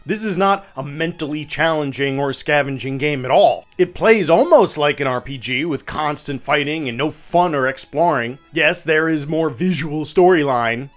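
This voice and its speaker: A man's voice: a little high, a bit bright. A man performs a dramatic reading of scathing game reviews. His delivery is theatrical and emphatic, playing up the displeasure. Music runs underneath his voice. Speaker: narrator. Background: background music.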